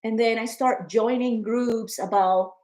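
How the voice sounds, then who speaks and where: A woman in her forties speaks to the camera in a personal video diary, her voice slightly higher than most. She talks earnestly and emotionally, doubling back on words and rising in energy when something frustrates her. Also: fairly even intonation, measured pace, darkish tone. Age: 40s. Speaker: vlogger. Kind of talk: personal vlog talking to camera.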